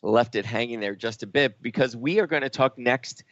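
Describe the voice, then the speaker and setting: A man's voice: medium pitch, moderate pace, fairly bright tone, dry recording. A man hosting a talk radio show, speaking earnestly and thoughtfully with fillers and restarts. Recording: dull lo-fi sound, dry.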